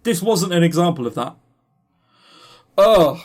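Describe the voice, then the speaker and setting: A man's voice: high-pitched, animated, a little slow, faint music behind. A man co-hosting a podcast in casual review chat, dryly sarcastic and often repeating words as he starts a sentence. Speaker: podcast co-host.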